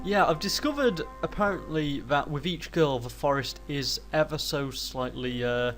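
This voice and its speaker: A man's voice: fairly high pitch, moderate pace, a bit bright. Man with a cold, rambling over music. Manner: rambling, hesitant, self-correcting. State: has a cold. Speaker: let's play host. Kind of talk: casual gameplay commentary.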